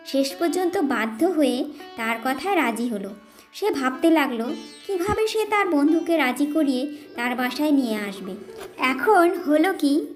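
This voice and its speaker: A woman storyteller reading a scripted narration of a children's tale. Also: high voice. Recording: music behind the voice.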